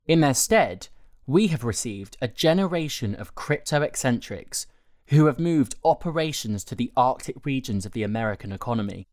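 A man narrating a written article in a measured, articulate way. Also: medium pitch, animated, a little mellow, no echo, clean studio sound. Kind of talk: scripted audio article narration.

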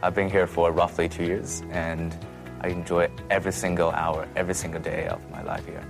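Very low, creaky young man over music. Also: little pitch movement, fairly quick. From China. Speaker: international student giving testimonial. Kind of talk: scripted promotional testimonial.

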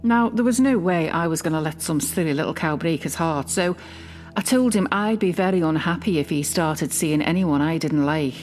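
A possessive, lowish-voiced British mother. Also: animated, darkish tone, faint music behind. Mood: possessive, justifying. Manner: intimate, defensive, hesitant pauses. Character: possessive, self-justifying, obsessively doting middle-aged mother. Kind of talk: dramatic monologue, confessional fiction podcast.

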